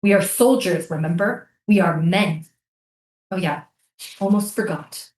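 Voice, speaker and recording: A woman's voice: fairly low pitch, unhurried, a little mellow, a little hiss or hum, close to an everyday voice. A woman playing an earnest, insistent American soldier and interrogator in a staged reading of a drama. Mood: scheming. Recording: roomy, echoey live stage reading.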